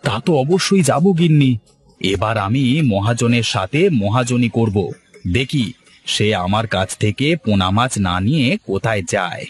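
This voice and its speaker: A man's voice: medium pitch, animated, normal speed, lightly acted. A man voicing a poor village fisherman and husband in a cartoon story. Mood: confident. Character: poor village fisherman husband, humble, worried, later cocky. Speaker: cartoon character voice. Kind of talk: Bengali cartoon story dialogue.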